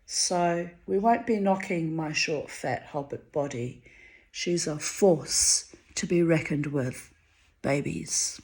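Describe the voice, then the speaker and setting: A Hungarian-born woman reading her own diary entries aloud, wry and theatrical, her voice swinging high and low for effect. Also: slow. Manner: wry, theatrical, dramatic swings of pitch and loudness.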